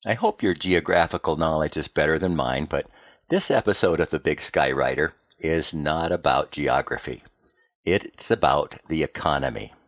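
An American man delivering a scripted, explanatory podcast monologue in a plain-spoken way, with deliberate emphasis and rhetorical questions. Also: a bass voice, no room sound, dull audio. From United States. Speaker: podcast host.

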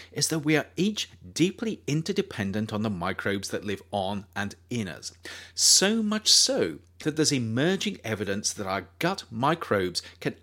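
A man reading his own nonfiction book aloud as audiobook narration, measured and thoughtful, lifting his voice on key phrases. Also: mid-range voice, bright, forceful tone.

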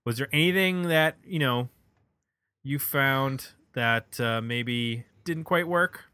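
A man's voice: mid-range voice, takes it slowly, bright, forceful tone, smooth, dry recording. A man hosting a podcast, casually discussing music in a conversational, opinionated way. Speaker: podcast host.

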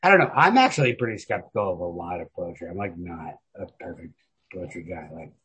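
A man's voice: deep voice, animated, fairly quick, a bit bright, breathy edge, muffled recording. A man chatting casually about books as a podcast host, slangy and emphatic, swearing freely. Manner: profane, slangy, emphatic, hedging with repeated "like". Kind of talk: casual chat about literature.

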